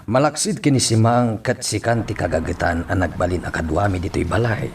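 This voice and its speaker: A man's voice: fairly low pitch, brisk, vocal fry, slight background noise. An actor playing a kindly older family friend who urges a young woman on in a radio drama. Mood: urging.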